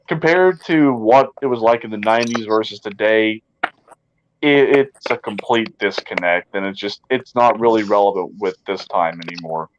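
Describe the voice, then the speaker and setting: A man's voice: lowish voice, noisy background. Easygoing young man. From Tennessee. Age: 20s. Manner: easygoing, hesitant with "um" and "well". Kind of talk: casual chat.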